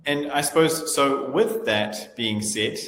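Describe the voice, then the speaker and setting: A man's voice: medium pitch, takes it slowly, fairly bright tone, faint music behind. A man hosting a webinar, talking loosely and hedging with repeated "I suppose". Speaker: webinar host. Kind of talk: webinar presentation. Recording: echoey room with long reverb.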